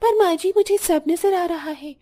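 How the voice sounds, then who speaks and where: A woman's voice: extremely high pitch, normal speed, warm tone. A woman voicing a young, devoted daughter-in-law in a moral story, polite, eager to help and reassuring. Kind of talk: scripted Hindi moral story voice acting.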